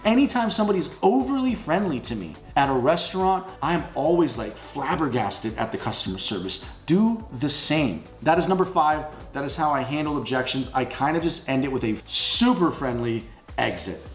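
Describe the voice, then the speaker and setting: A man giving an informal sales coaching talk, blunt and emphatic, slipping into sample phone pitches. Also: sing-song, normal speed. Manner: blunt, emphatic, salesman-like, addresses listeners as guys. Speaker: instructor teaching phone sales for junk removal. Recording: roomy echo with music underneath.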